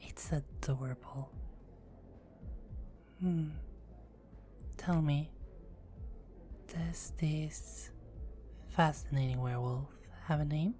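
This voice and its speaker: A woman playing a low, whispering teasing vampire. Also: fairly even intonation, takes it slowly, pauses often, soft background music. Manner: soft-spoken, often whispering, playful teasing. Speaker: performer of own script. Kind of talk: ASMR roleplay.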